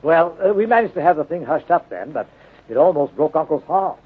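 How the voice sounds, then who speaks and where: Genteel military gentleman, muffled old radio. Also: fairly high pitch, expressive, brisk, husky. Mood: troubled, polite. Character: distressed, well-bred military gentleman, former colonel. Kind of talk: 1930s radio drama acting. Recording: muffled, narrow-band 1930s radio recording.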